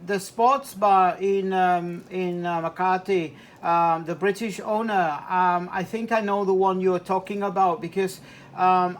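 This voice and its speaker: A man speaking as an amateur radio operator in a casual, halting two-way chat full of radio jargon. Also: sits high.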